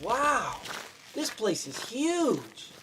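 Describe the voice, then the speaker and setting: A man's voice: extremely high pitch, wildly swooping pitch, drawn-out words, background noise, full character voice. A man acting as a nervous, polite pizza shop manager in a radio play, speaking hesitantly and warily. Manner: hesitant, wary.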